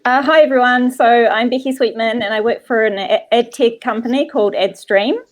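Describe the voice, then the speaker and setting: Bright-pitched woman introducing herself. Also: normal speed. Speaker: sales operations staff. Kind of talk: casual self-introduction. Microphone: video call.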